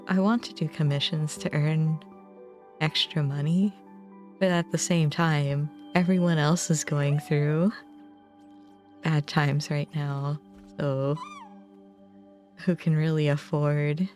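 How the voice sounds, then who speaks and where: A young adult woman chatting casually on a livestream, musing informally in short, halting phrases. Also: low-pitched, steady tone, a little mellow. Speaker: game streamer. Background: background music.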